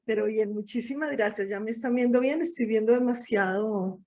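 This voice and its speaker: A Colombian woman in her forties speaks as a guest in a casual online interview. Her voice is soft-edged and mellow, a little high, and she wanders and corrects herself as she tells her own story. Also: moderate pace. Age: 40s. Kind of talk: casual autobiographical talk. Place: online video call.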